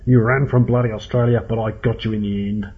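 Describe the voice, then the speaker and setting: A man playing a big, scarred, foul-mouthed male hunter in a story, shouting aggressively in fury. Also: lowish voice, flat delivery, a little fast, breathy edge, phone-quality sound. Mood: triumphant rage. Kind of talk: audiobook character acting.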